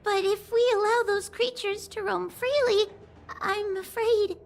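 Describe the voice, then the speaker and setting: A woman's voice: very high-pitched, steady tone, measured pace, faint music behind. An actress playing a small, timid, dutiful girl judge in a video game. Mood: anxious, deferential. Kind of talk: game dialogue acting.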